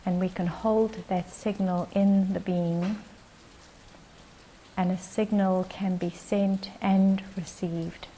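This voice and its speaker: A woman leading a guided meditation as its guide, speaking in gentle, short phrases with long pauses. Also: mid-range voice, fairly even intonation, a little hiss or hum, rough recording.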